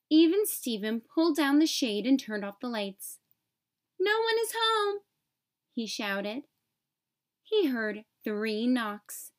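A woman reading a children's picture book aloud as an expressive storyteller, phrasing clearly for young children. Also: on the high side.

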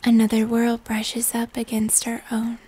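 A woman's voice: fairly high pitch, monotone. Woman playing a whispering moth woman of the woods. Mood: mysterious, uneasy. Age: young adult. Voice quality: soft, breathy, whispery. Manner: slow, hushed, mysterious, gentle. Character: gentle mysterious moth-human hybrid woman of old woods. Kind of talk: cinematic fantasy ASMR roleplay.

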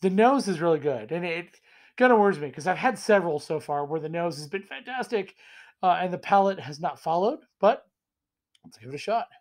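Hoarse guy with a cold rambling about whiskey at home, webcam sound, chatty and self-deprecating. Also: high-pitched, lively intonation, fairly quick. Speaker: whiskey reviewer. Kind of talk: casual tasting vlog. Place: small room indoors at home.

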